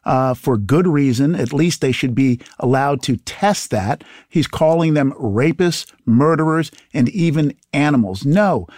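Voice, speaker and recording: A man appearing as a guest in a political interview, speaking in a measured, earnest, and firm way. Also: a little high, animated, a little mellow, no echo, studio quality. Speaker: guest, attorney general.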